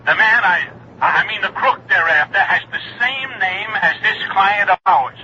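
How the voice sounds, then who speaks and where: Excitable phone chatterbox, high and very bright, eager, repetitive, vintage radio sound. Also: male voice, moderate pace. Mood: excited, urgent. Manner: eager, repetitive, barges on without listening. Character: excitable, chattering male insurance man on the phone. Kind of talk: old-time radio drama acting. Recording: thin, hissy old radio phone call.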